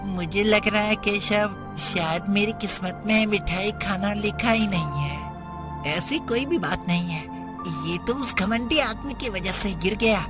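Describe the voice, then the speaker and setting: Innocent cartoon schoolboy woman performer, earnest and naive, unhurried delivery over faint music. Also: mid-range voice, lightly acted. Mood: consoling, disappointed. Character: innocent, sweet-craving young schoolboys. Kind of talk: children's cartoon story acting.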